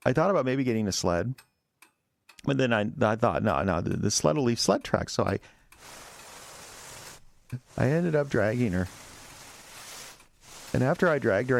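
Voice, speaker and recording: An audio drama killer, a husband making his confession. He rambles and stammers, defensive and agitated, with a creaky, gravelly edge to his voice. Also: on the low side.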